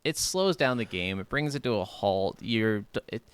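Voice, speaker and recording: A man's voice: on the low side, animated, moderate pace, clean tone, no echo. A man talking casually as a co-host of a video game podcast. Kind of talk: casual chat.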